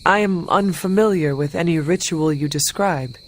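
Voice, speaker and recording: An actress playing a formal, resolute supernatural warrior in a video game cutscene, speaking directly. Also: female voice, lowish voice, normal speed, a little hiss or hum, lightly acted. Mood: questioning.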